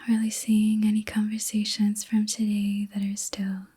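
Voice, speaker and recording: A woman hosting an ASMR reiki session in a soft, breathy whisper, gentle and soothing, with unhurried pauses. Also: mid-range voice, completely flat delivery. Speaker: ASMR host and reiki practitioner.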